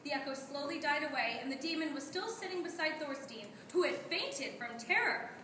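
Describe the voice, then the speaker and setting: A woman's voice: high-pitched, expressive, fairly quick, bright, forceful tone. A woman narrating a scripted puppet-show story as its storyteller. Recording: echoey room, noisy, distant mic.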